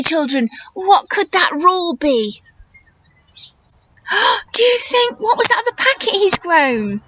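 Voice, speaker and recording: A woman's voice: high-pitched, a bit bright, muffled recording, slight background noise. A woman teacher reading a picture book aloud to young children, expressively, stopping to explain and ask them questions. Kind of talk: animated children's storytime read-aloud with questions to listeners.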